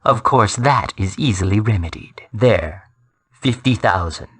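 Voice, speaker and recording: An actor playing a cold, arrogant soul-collecting villain in a fantasy video game, speaking with formal, deliberate menace. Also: male voice, lowish voice, animated. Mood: gloating, ominous.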